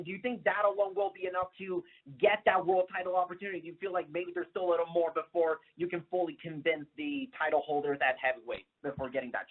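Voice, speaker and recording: A reporter's voice puts questions at a press call. The manner is polite. The sound is thin and narrow, as heard down a phone line. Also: medium pitch.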